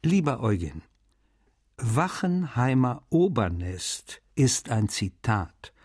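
A man's voice: medium pitch, sing-song, takes it slowly, a little mellow, breathy edge, dry recording, clean studio sound, exaggerated voice. A man playing a cordial, precise writer, reading his letter aloud in a radio feature. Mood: measured, cordial. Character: French writer answering his translator friend, warm and precise.

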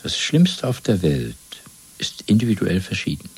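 A cold, methodical torturer of a totalitarian state, in a seventies radio drama. His voice is low and very husky. He speaks with measured, clinical menace. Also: wildly swooping pitch, full character voice. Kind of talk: 1970s German radio play acting.

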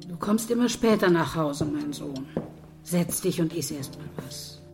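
A woman playing a caring older mother, gently concerned for her grown son, in a radio drama. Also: deep voice, animated, a little slow, music in the background. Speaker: actor.

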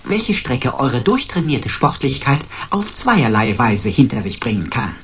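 An actor voicing a sarcastic computer sidekick in a German sci-fi radio play, with pompous, florid, mock-servile delivery. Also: male voice, medium pitch, very animated, unhurried, vocal fry, a bit bright, slightly rough, highs cut off, heavily acted. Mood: mock-servile, smug.

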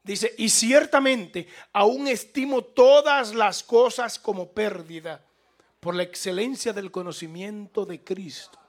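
A man's voice: sits high, slow. A man preaching a fervent sermon as a radio preacher. He stresses points by repeating them and calls on listeners to respond. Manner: fervent and emphatic, with repetition and rising exhortation. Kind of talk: evangelical sermon.

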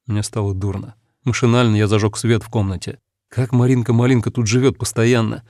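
A man narrating a first-person story in a calm, reflective, measured way. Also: on the low side, no room sound, clean studio sound. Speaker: audiobook narrator.

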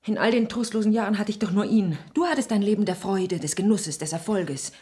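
A woman's voice: mid-range voice, a little fast, mellow, close to an everyday voice. An actress playing a bitter, protective middle-aged mother in a radio play, with grave, emotional stage diction. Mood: desperate pleading. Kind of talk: 1960s German radio play acting.

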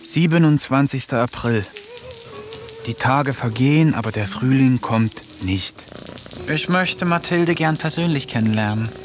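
A man narrating a radio play as a diary, slow, subdued and introspective. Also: medium pitch, soft background music. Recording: noisy background. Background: ambient noise.

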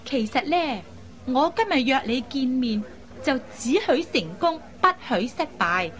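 A lively young woman in a radio drama. Her voice sits high and she talks in a chatty, coaxing way, headstrong and full of expressive particles. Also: measured pace, a faint crowd. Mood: playful, insistent. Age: young adult. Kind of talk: Cantonese radio drama acting.

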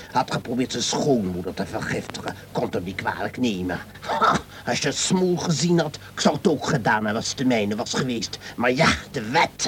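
A man acting a bluff, talkative, whisky-loving High Court judge in a Dutch radio play. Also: natural voice. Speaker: actor. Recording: noisy old radio play recording.

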